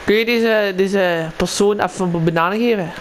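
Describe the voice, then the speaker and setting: Casual man roleplaying, clear game noise behind. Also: high-pitched, moderate pace. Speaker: roleplay player guiding a newcomer.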